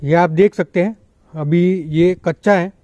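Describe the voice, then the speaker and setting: High-voiced young man vlogging outdoors. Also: warm tone. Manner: chatty, explains with many pauses. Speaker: dairy farmer and vlogger. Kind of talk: casual farm vlog. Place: outdoors at an open cattle shed on a farm. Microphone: phone at arm's length.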